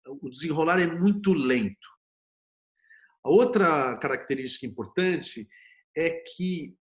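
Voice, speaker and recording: A Brazilian man talking as an interview guest, explaining things conversationally in a storytelling way with frequent tag questions. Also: a little high. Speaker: interview guest, series producer and screenwriter.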